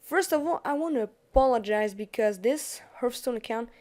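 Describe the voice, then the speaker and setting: A woman's voice: a little high, animated, moderate pace, darkish tone. A young woman with a French accent, chatting casually as she narrates a card game on screen, often restarting her sentences. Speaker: gaming YouTuber. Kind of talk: casual gameplay commentary over screen capture.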